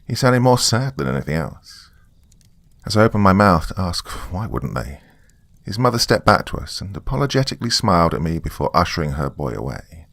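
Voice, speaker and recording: A man's voice: sits low, sing-song, a little fast, vocal fry, a bit bright, no room sound. A man narrating a story in the first person.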